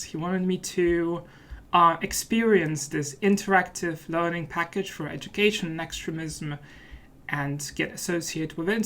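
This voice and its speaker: A man's voice: on the high side, a bit bright. A man hosting a let's play, giving casual commentary in a playful, mock-serious manner.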